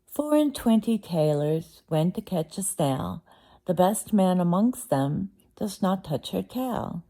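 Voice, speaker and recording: A woman voicing a chorus of tiny cheeky mice, chanting nursery rhymes in a high sing-song. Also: dark tone, heavily acted. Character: tiny shrill singing mice, cheeky and gleeful.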